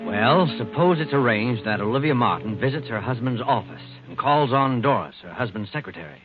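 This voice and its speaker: A man's voice: mid-range voice, expressive, brisk, close to an everyday voice. An actor playing a matter-of-fact man laying out a plot in 1940s radio mystery style. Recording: tinny 1940s radio broadcast with music.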